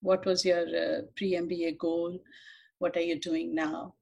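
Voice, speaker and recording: A woman's voice: fairly low pitch, little pitch movement, measured pace, vocal fry, a little mellow, breathy edge. A woman hosting an interview, reading a formal introduction and asking polite questions. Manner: polite, formal, reads from a script. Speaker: interviewer, host.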